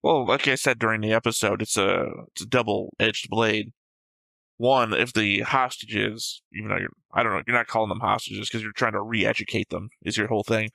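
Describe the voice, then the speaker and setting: A man's voice: lowish voice, fairly even intonation, normal speed, creaky, pushed and bright, no echo, poor audio. A man co-hosting an anime reaction show, talking through episode notes casually and bluntly, with some swearing.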